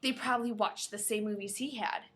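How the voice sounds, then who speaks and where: A woman's voice: mid-range voice, steady tone, a little fast, a bit bright. A woman co-hosting a podcast, playful, mixing casual banter with reading a novel aloud and correcting herself as she goes. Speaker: podcast co-host.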